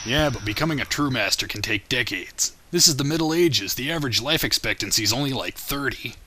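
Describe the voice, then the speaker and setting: A man voicing a blunt, sarcastic mercenary swordsman with a deadpan manner in a comedic cartoon parody dub. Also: medium pitch, a little fast. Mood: dry, skeptical.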